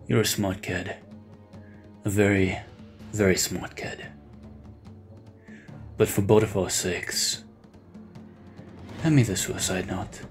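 A man playing a calm, cold-blooded, menacing middle-aged uncle in an audio drama. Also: sits low, slow, long pauses, faint music behind. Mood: quietly menacing.

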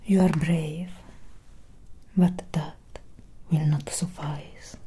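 A woman playing a centuries-old vampire doctor in a soft-spoken ASMR roleplay, speaking in a solemn, measured, breathy whisper. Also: sits low, fairly even intonation, full character voice. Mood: grave, wary. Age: young adult. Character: centuries-old female vampire doctor, grave, gently caring.

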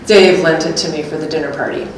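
A woman's voice: sits low, fairly even intonation, quick pace, close to an everyday voice. A middle-aged woman giving a staged reading of a two-person script, playing a wry, anxious character. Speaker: performer reading a scripted dialogue. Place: echoey gallery room with hard walls. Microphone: stand microphone. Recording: echoey room, distant, faint noise.

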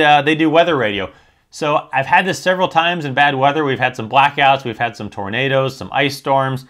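A man's voice: mid-range voice, a bit bright. A man reviewing and demonstrating a product in a casual, candid, conversational way with dry humour.

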